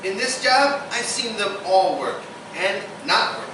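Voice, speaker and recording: A noisy, deeply reverberant live recording. An actor plays an easygoing bartender with a philosophical turn, speaking in stage radio drama style at some distance from the microphone. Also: animated, unhurried, natural voice. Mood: philosophical, reassuring. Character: easygoing, philosophical bartender dispensing love advice. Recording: very echoey, distant live recording, clear noise.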